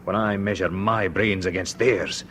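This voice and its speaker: A bitter, proud technician. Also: male voice, deep voice, fairly even intonation, a little slow, fairly bright tone, slight background noise. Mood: resentful. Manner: resentful, boastful, rising to intense bursts. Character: bitter, proud male lab technician, middle-aged.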